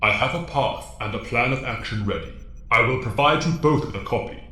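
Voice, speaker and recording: An actor playing a stern, fatherly alien mentor in an audio drama, speaking formally and with measured authority. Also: mid-range voice, expressive, sharp tone, roomy sound. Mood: grave, instructive.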